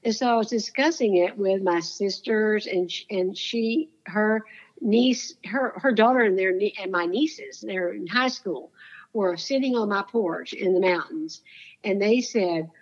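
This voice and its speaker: A woman's voice: mid-range voice, unhurried, highs cut off. A woman guest in an interview, telling stories in an earnest, heartfelt way with a soothing voice. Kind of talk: casual interview storytelling.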